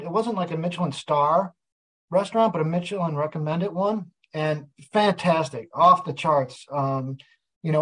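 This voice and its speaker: A man's voice: on the high side, low-quality recording. Easygoing man saying "like" a lot. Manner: loose, heavy on "like" and "you know". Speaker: podcast co-host. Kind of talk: casual chat.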